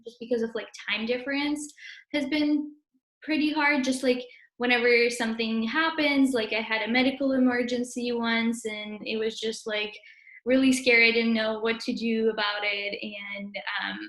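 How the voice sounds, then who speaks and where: A woman's voice: a little high, steady tone, normal speed, a bit bright. A woman in her early twenties from Russia, a native Russian speaker, answering interview questions casually as a university student. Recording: roomy, echoey sound.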